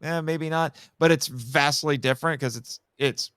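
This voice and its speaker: A man with a fairly high, smooth voice, recorded close and dry. He chats as a podcast guest, explaining things eagerly and drifting into tangents. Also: moderate pace, a bit bright, no echo. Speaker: guest film expert. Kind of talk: casual podcast discussion.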